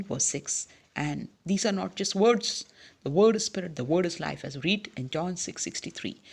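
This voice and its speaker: A preacher speaking delivers an emphatic devotional sermon. The voice is gravelly and husky, and a steady "yes" marks the breaks between phrases. Quiet asides alternate with sudden loud emphasis. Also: mid-range voice.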